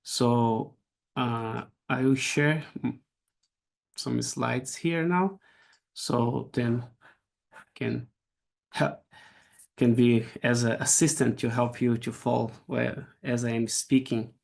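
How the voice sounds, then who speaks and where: Brazilian-accented man, middle-aged, gravelly voice, halting lecture delivery on a video call. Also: medium pitch, steady tone, a little mellow. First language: Portuguese. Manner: halting, frequent restarts and repeated words. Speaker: guest speaker. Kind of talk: academic seminar lecture.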